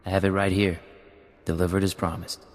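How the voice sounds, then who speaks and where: A deep-voiced, cold male operative, voiced by a man in a game cut-scene, answering in short, deadpan lines. His voice carries a long reverb. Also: brisk, natural voice. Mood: curt. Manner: curt, deadpan. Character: cold, terse male pirate agent. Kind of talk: video game voice acting.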